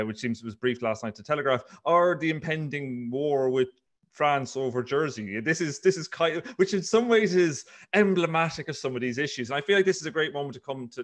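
Male panel host, conversational, restarts and fillers while framing questions, video call. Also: medium pitch. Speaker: panel moderator. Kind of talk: online panel discussion.

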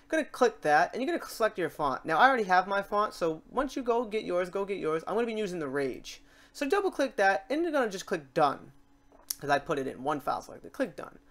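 Screencast tutorial host: medium pitch, casual and chatty, informal step-by-step instruction. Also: very animated, a little fast, a bit bright.

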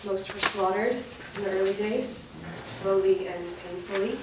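A woman's voice: medium pitch, unhurried, lightly acted. Woman playing a wary villager, very echoey. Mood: grim, wary. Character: wary, fearful young village woman, defensive and bitter. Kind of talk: staged play reading. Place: large bare indoor room, staged reading. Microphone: distant camera. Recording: echoey room from far off, noisy.

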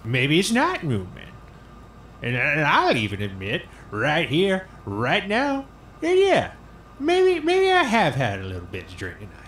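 A man's voice: a little high, wildly swooping pitch, unhurried, a bit bright, a little hiss or hum, heavily acted. An actor playing a defiant, drunken older small-town man, rambling in folksy rural grammar in an audio drama. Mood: earnest, insistent.

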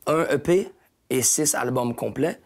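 A man's voice: mid-range voice, a little slow, darkish tone. A man in his thirties with a Québécois accent, answering interview questions thoughtfully with frequent hesitations and restarts, on a lapel mic in a small lounge studio. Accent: Québécois French. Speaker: hip-hop artist guest.

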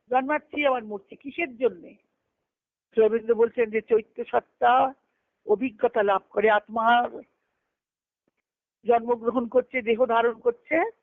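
A woman's voice: fairly high pitch, no room sound. A woman giving a devotional philosophical discourse as a spiritual teacher, posing questions and answering them, over a telephone conference call. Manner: didactic, poses questions and answers them, repeats phrases. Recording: thin phone-line conference call audio.

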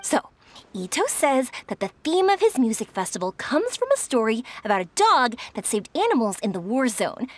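A woman's voice: a little high, sing-song, normal speed. A woman voicing a cheerful young fireworks-maker who is bubbly, playful and teasing, in video game voice acting. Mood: upbeat.

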